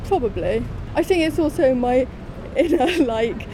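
A woman chatting informally as a panellist, recorded outdoors with clear street noise behind her. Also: high voice, lively intonation, a little slow. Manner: informal, frequent "like". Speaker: theatre critic and panellist. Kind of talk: casual chat. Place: outdoors outside a street cafe. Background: traffic and urban ambience.

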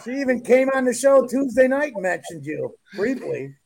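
A man's voice: extremely high pitch, sing-song, normal speed, creaky, crowd noise. A man co-hosting a podcast, chatting and joking with the guest. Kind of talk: casual chat.

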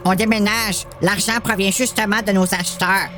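A woman's voice: mid-range voice, fairly even intonation, moderate pace, music in the background, lightly acted. A woman playing a curt, indignant adult man with a dry manner in an audio drama.

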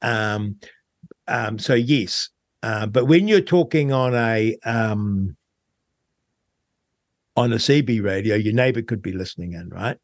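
A man with a New Zealand accent talking in a casual radio discussion as host, with a hesitant, halting delivery. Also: fairly low pitch, no echo, clean studio sound. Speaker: talk show host.

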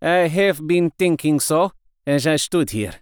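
A man voicing a stern, grave older male professor in an audiobook, measured and serious. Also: fairly high pitch, animated, clean tone, no room sound, clean studio sound, pitched above the performer's own voice. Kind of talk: audiobook character reading.

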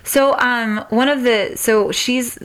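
A woman's voice: on the high side, fairly even intonation, slow pace. A woman hosting an interview podcast, chatting casually and informally as she feels her way through her thoughts. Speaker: podcast host interviewing a guest. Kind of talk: casual chat.

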